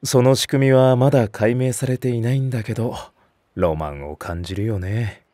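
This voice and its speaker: A man's voice: mid-range voice, normal speed, dry recording, lightly acted. Man as a patient senior nursery teacher, dry sound. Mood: explanatory. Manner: gentle, instructive, patient. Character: mild, sensible senior male nursery teacher. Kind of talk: anime-style audio drama acting.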